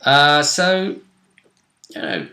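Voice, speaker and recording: A man's voice: fairly high pitch, extremely slow, sharp tone. A man speaking as a game master, casually recapping a tabletop game. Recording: echoey room sound.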